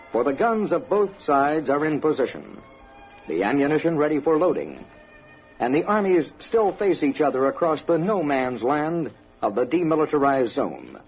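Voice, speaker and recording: An American man narrating a scripted 1960s radio documentary in a solemn, dramatic, declarative manner. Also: a little high. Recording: dull vintage radio broadcast, faint music.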